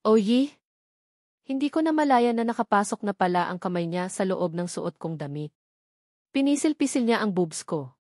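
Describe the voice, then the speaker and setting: A woman narrates a romance novel in the first person. Her voice sits at a medium pitch, and the sound is close and dry, with hardly any room on it. It is scripted storytelling, read aloud. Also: lively intonation, normal speed, a little mellow, dry recording. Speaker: audiobook narrator.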